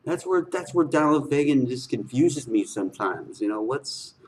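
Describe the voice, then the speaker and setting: A man hosting a music reaction video, giving casual, excited commentary in slangy, exclamatory bursts and often repeating his phrases. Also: medium pitch, normal speed, heavy background noise. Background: loud music playing.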